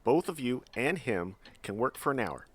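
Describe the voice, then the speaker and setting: An actor plays a frontier mining boss in an audio drama. His mid-pitched voice swings up and down with lively, folksy color, skeptical and slightly patronizing but jovial. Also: normal speed. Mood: intrigued. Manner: folksy, animated, condescending.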